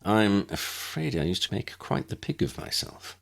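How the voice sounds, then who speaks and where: A man's voice: on the low side, very animated, measured pace, gravelly, fairly bright tone, full character voice. A man voicing a courteous, modest, slightly bumbling fellow in a story, polite and explanatory. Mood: sheepish.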